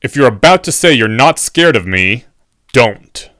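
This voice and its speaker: A man's voice: mid-range voice, lively intonation, measured pace, pushed and bright, no room sound. A menacing professor, acted by a male narrator for an audiobook. He speaks formally, with biting sarcasm and a cutting temper, in a very dry, close recording. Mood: grim, resigned.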